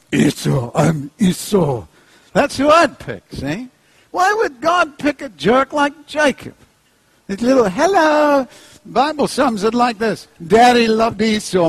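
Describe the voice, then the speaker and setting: A middle-aged New Zealand preacher speaking in a slightly high, husky voice. He jokes, acts out characters, and lets his pitch leap high for effect. The sound is dry and close. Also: no echo. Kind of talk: animated sermon with humor.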